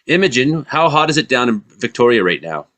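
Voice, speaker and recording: A man's voice: mid-range voice, very animated, fairly quick, fairly bright tone. A Canadian man from southern Ontario hosting a casual weather talk show on radio.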